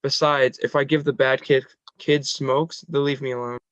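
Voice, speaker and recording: A man's voice: medium pitch, level tone, moderate pace, a bit bright, no room sound, highs cut off, close to an everyday voice. A man playing a good-natured teenage boy in a screenplay table read. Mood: easygoing. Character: Gerald, good-natured skinny teenage boy, slow learner.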